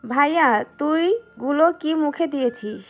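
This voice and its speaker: A woman's voice: high-pitched, unhurried, phone-quality sound, faint music behind. A woman playing a hero's young little sister in an audio story, familiar and questioning, in a thin band-limited recording. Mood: puzzled. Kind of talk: dramatized audio story acting.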